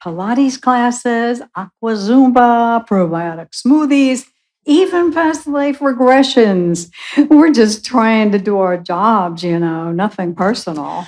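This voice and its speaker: A woman's voice: a little high, takes it slowly, a little mellow. A woman playing a bumbling, well-meaning little angel in a radio play, earnest, fussy and eager to please. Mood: matter-of-fact. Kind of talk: live radio play acting.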